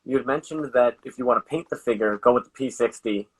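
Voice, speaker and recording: A man's voice: mid-range voice, quick pace, husky, poor audio. A man hosting a casual interview, putting questions to his guest in an informal way. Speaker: interviewer, host relaying viewer questions.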